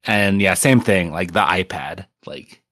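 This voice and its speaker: A man's voice: deep voice, unhurried, fairly bright tone. A man co-hosting a podcast in casual chat, hesitant and full of restarts. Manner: hesitant, many likes and restarts. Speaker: podcast co-host.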